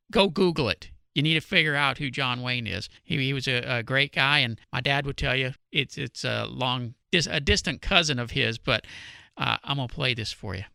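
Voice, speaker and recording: A middle-aged male host speaks casually and from the heart, in a plainspoken, emphatic way. His voice is strikingly bright and forceful, with a creaky edge. The sound is dry and close. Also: mid-range voice, normal speed, no room sound. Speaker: radio show host. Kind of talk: casual, heartfelt talk to listeners.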